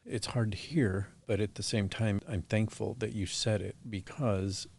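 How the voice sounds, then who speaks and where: A man's voice: fairly low pitch, fairly even intonation, unhurried, gravelly, a little mellow, slight background noise. A man hosting a podcast interview, speaking in an earnest, supportive, emphatic way. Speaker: podcast host. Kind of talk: interview conversation.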